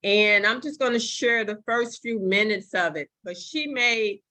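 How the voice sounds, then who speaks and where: A woman's voice: mid-range voice, unhurried, sharp tone. A woman on a video call giving an emphatic motivational talk to her team and introducing a video. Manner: emphatic, repetitive.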